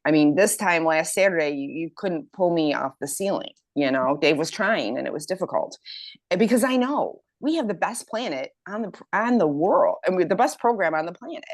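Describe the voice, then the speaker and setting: Woman, 50s, low voice, dry close sound; casual, eager testimonial. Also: lively intonation, normal speed, no room sound. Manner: enthusiastic, reads quotes aloud. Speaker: health program member. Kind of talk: casual group chat testimonial.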